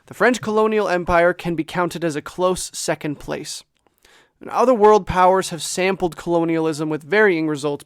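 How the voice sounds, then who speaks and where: A man's voice: fairly high pitch, no room sound, clean studio sound. A man hosting a history podcast, narrating from a script with earnest, lightly self-deprecating asides. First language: English.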